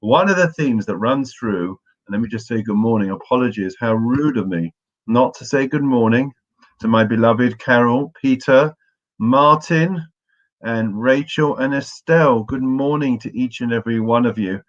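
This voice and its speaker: A hoarse man, losing his voice, giving a morning learning talk to his congregation and greeting listeners by name in a chatty way. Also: mid-range voice, normal speed. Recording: muffled lo-fi audio.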